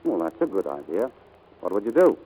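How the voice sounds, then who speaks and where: A man's voice: lowish voice, big pitch swings, a little fast, phone-quality sound, slight background noise. An actor playing a breezy, flirtatious, self-assured male pilot in 1940s radio drama style. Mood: tender, flirtatious. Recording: old 1940s radio broadcast, music behind.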